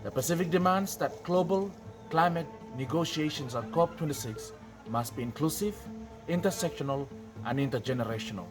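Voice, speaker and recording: A man's voice: fairly high pitch, a little fast. A young man from Vanuatu, a climate activist, making emphatic demands. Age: young adult. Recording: music underneath.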